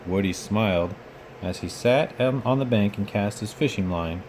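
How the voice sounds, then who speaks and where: A man with a fairly low voice reads a children's story aloud. His narration is expressive and paced for young listeners. A faint noise sits behind him, as in a home recording. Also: moderate pace. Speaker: storybook reader, children's read-aloud host. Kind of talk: expressive bedtime storybook reading.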